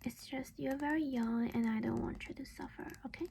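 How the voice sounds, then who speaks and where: A woman whispering in a gentle, reassuring, halting way, in an ASMR roleplay as a caring vampire mother. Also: on the high side, fairly even intonation, slight background noise. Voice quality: breathy whisper. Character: caring vampire mother doing a dental checkup.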